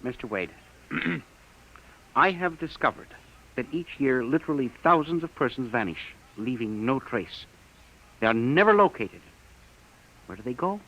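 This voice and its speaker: An actor playing an earnest, easily flustered bureau clerk in a radio drama, with hesitant, muttering speech. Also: male voice, medium pitch, animated, unhurried, pauses often, a bit bright. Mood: earnest, nervous. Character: fussy, earnest, excitable male missing persons bureau clerk. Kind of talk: old-time radio drama acting. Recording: muffled, lightly noisy old radio recording.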